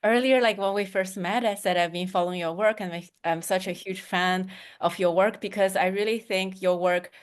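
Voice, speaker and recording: A woman's voice: medium pitch, fairly even intonation, moderate pace, clean tone. A woman whose first language is Mandarin, co-hosting a webinar and speaking in an earnest, thoughtful way. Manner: earnest, thoughtful, with some non-native grammar. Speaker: co-host. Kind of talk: casual webinar chat.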